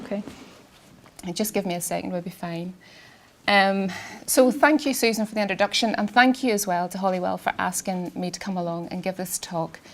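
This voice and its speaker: A woman, an artist giving a public talk in a quiet, soft voice, hesitant with many ums and restarts, and she has a cold. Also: mid-range voice, slight background noise. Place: garden event space with sound system.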